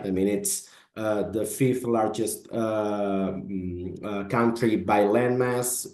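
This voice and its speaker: Low dark-voiced man, echoey room. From Spain. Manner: stammering restarts, repeats words. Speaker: business advisor. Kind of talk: self-introduction in online meeting. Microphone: video call.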